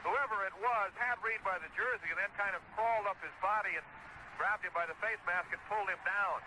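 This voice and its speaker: High-pitched American sportscaster on noisy old radio. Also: male voice, fairly quick. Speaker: radio play-by-play announcer. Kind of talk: live American football commentary. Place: stadium broadcast position. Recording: noisy 1970s radio broadcast recording.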